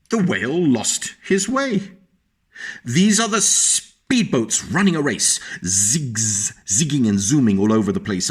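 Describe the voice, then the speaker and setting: A man telling a story to young children, his voice lively and rising and falling over a wide range. He sits at an everyday middle pitch, pausing often to point things out and explain to small listeners. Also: breathy edge. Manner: animated, pauses to explain pictures to small listeners. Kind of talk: reading a picture book aloud to children.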